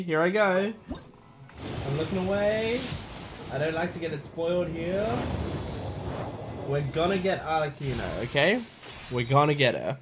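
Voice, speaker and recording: Bright-voiced excitable man streaming a game. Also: medium pitch, a little hiss or hum. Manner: excitable, chatty. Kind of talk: casual gameplay commentary.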